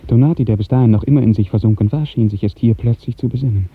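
A man narrating a literary 1950s radio drama in a measured, poetic storytelling manner. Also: mid-range voice, husky. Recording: muffled narrow 1950s radio recording.

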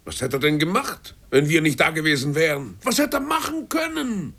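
A man's voice: fairly high pitch, very animated, normal speed, fairly bright tone, slightly rough, exaggerated voice. A man acting a surly, aggrieved sailor and agitator in a radio drama, full of indignant rhetorical questions. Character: surly, grumbling, scrounging sailor, male agitator.

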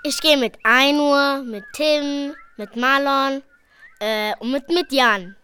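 A high, childlike performer playing an excited young daughter in an amateur school radio play, with simple, halting delivery. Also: full character voice. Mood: eager. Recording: noisy amateur school recording.

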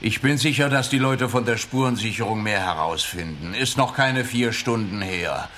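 An actor playing a teasing, matter-of-fact police detective in a radio crime comedy, with a wry manner. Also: male voice, on the low side, normal speed, fairly bright tone, lightly acted. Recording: noticeable background noise and ambience.

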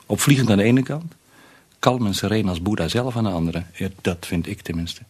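An actor playing an avuncular, self-satisfied older man in a radio play, musing and patronising, with a husky voice. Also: fairly low pitch, brisk, a little mellow.

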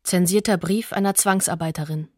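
A woman's voice: fairly low pitch, steady tone, fairly quick, dry recording, studio quality. A woman narrating a scripted radio documentary, announcing sources and dates in a sober, factual manner.

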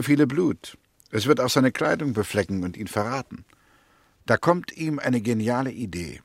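An actor playing a confident, suspicious prosecutor in a 1960s German radio play, laying out his case deliberately and insistently. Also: medium pitch, no echo, clean studio sound. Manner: deliberate, insistent, narrates theories step by step.

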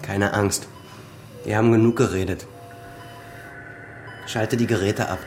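An actor as a low, grimly resolute son. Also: male voice, steady tone, measured pace, faint music behind, natural voice. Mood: grave. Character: grim, resolute grown son confronting his father. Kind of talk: German radio crime drama acting.

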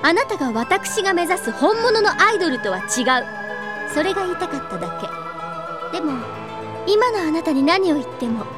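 A woman's voice: very high-pitched, big pitch swings, brisk. A woman playing a haughty, self-assured young lady in an anime audio drama, aloof, ladylike and condescending. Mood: cool, dismissive. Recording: music clearly behind the voice.

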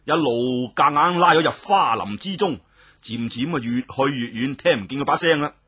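Cantonese audiobook narrator, very animated, bright forceful tone, husky edge, colloquial and dramatic. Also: mid-range voice, moderate pace, lo-fi sound. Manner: dramatic, colloquial, exclamatory asides. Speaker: storyteller. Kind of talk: animated scripted audiobook storytelling.

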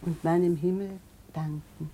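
An actress playing a wistful boat-rental woman in a lyrical radio drama, speaking in dreamy, measured phrases. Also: lowish voice, steady tone, mellow, faint music behind, poor audio. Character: dreamy, wistful woman who rents out boats.

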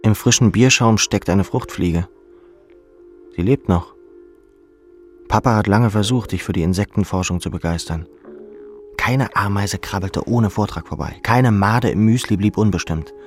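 A man's voice: on the low side, moderate pace, pauses often, soft background music. A man reading second-person literary narration as an audiobook narrator.

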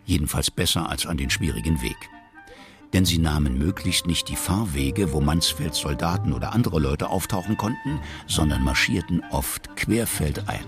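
A man's voice: a bass voice, expressive, moderate pace. A man narrating a historical adventure as the storyteller of a German children's radio play. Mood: measured storytelling. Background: clear background music.

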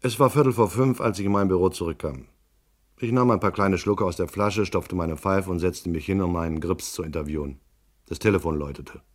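A hardboiled private eye from a crime radio drama. His voice is low, and he talks in terse, dry, unimpressed lines, as narrator of his own case and as tough questioner. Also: brisk. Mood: dry narrating. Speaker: actor narrating and playing the lead.

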